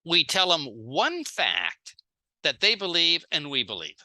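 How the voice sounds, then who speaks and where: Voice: medium pitch, huge pitch swings, takes it slowly, pushed and bright. A speaker giving a sales training seminar in short plain phrases, acting out little example dialogues. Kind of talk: seminar talk in short phrases, paused for an interpreter.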